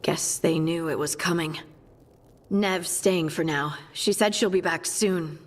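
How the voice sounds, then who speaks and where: A woman's voice: fairly low pitch, unhurried, in a large hall. A woman playing a practical, level-headed companion in a fantasy video game. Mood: matter-of-fact.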